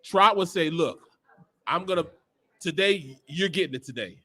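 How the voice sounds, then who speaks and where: A man's voice: on the high side, expressive, normal speed, bright, forceful tone. A middle-aged American man, a former football player, telling stories on a sports panel in an emphatic, repetitive, slangy way. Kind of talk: animated locker-room storytelling on a sports panel.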